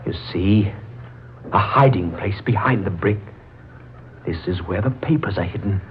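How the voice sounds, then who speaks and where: A man's voice: fairly low pitch, a little slow, lightly acted. Male actor as bewildered man with an ancestor's spirit in him; 1940s radio drama, boxy lo-fi sound, faint hiss. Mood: solemn intensity. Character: bewildered man speaking as a long-dead ancestor, excited, haunted. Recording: muffled, hissy 1940s radio recording.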